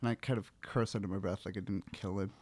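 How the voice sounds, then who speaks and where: A man's voice: fairly low pitch, steady tone, fast talker, darkish tone, slightly rough, dry recording. A man playing a tabletop game, talking casually and hesitantly as he thinks aloud about what his character does.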